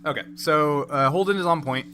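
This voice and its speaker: A man's voice: on the high side, fairly quick, pushed and bright. A man running a tabletop role-playing game, narrating and explaining rules off the cuff. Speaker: game master.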